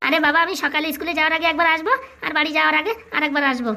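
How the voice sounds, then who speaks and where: A woman's voice: high-pitched, steady tone, very fast, vocal fry, bright, forceful tone, a little hiss or hum, heavily acted. A woman playing an anxious, modest young bridegroom in a comic cartoon, fretful in manner. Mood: earnest. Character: anxious, modest young man about to marry.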